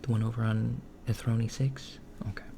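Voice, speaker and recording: A man playing a calm, attentive male alien doctor in a softspoken ASMR roleplay, talking gently and hesitantly, with frequent ums and slips into a whisper. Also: mid-range voice, gravelly, close to an everyday voice. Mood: gentle. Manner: soft-spoken, unhurried, slipping into whispers. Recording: lo-fi with steady background hiss.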